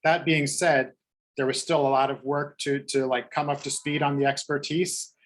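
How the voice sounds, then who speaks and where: A man's voice: fairly high pitch, flat delivery, normal speed. A middle-aged man speaking as a translator on a book panel over a video call, thoughtful and digressive, with many fillers and restarts. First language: English.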